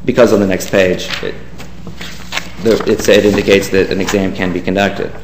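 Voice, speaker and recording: A man's voice: low-pitched, little pitch movement, moderate pace. A man giving a formal, deferential courtroom argument as an attorney. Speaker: government attorney. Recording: echoey courtroom with faint hiss.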